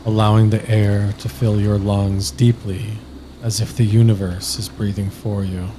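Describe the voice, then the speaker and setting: Male sleep-meditation narrator. Flat, unhurried, soothing read with long pauses, over clearly audible ambient noise. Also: lowish voice. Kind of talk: scripted guided sleep meditation.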